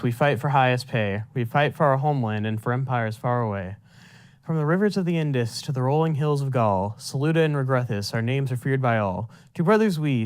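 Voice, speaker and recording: A man declaiming a rhymed ballad as a student poet. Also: medium pitch.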